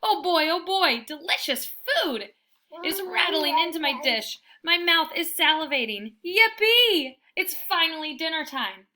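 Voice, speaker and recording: A woman voicing an excitable, food-loving pet dog with dramatic, pleading exclamations in a children's storybook. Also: extremely high pitch, measured pace, pitched above the performer's own voice, heavily acted. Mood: excited, gleeful. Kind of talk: children's storybook read-aloud.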